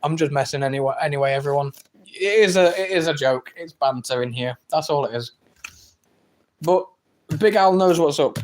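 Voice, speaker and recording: Brash English man, slightly high voice. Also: normal speed. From England. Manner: brash, teasing, boastful. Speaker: livestream host reading chat. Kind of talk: casual live-stream banter.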